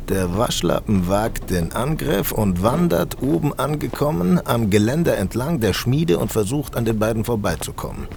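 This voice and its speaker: A man's voice: medium pitch, big pitch swings, moderate pace, creaky, few pauses, a little mellow, a little hiss or hum. A man narrating a scripted fantasy audio drama in a theatrical, sardonic storytelling style.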